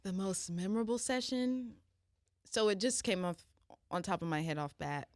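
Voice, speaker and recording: A woman's voice: medium pitch, expressive, moderate pace, dry recording, clean studio sound. A woman in her twenties, a singer speaking as a guest in a casual interview, earnest and reflective. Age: 20s.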